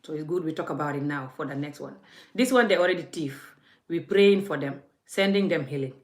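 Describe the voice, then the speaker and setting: A woman's voice: deep voice, expressive, brisk. A woman vlogging in a forthright, scolding way, speaking straight to the viewer as she makes her case. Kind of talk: impassioned vlog rant. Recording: echoey room.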